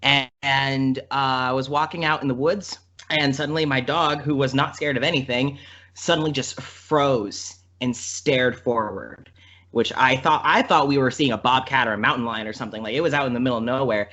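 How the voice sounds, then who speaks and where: A man's voice: medium pitch, steady tone, moderate pace, pushed and bright. A man from the American Midwest, a podcast guest telling stories casually in an earnest, emphatic way that repeats phrases. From Midwestern United States. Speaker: podcast guest, audio drama creator. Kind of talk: casual anecdotal storytelling. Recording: dull lo-fi audio.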